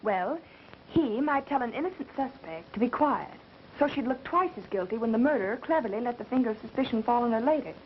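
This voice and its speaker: An actress playing a quick-witted, wry woman under suspicion in a 1930s mystery film. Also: a little high, animated, a little hiss or hum, close to an everyday voice. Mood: wry, challenging. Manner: wry, sarcastic retorts. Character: quick-witted, sarcastic woman suspected of murder. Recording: muffled 1930s film soundtrack with music.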